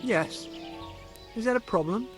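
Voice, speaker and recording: A sly cocky charcoal burner. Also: male voice, sits high, music in the background. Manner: evasive, sarcastic, quickly rattled. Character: cocky defensive male charcoal burner, adult. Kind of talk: video game voice acting.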